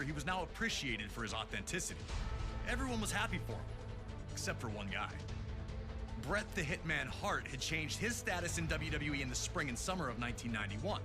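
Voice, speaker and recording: Guy with a highish voice doing dramatic sports doc narration, announcer style, music under it. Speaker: documentary narrator and commentator. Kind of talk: scripted sports documentary narration.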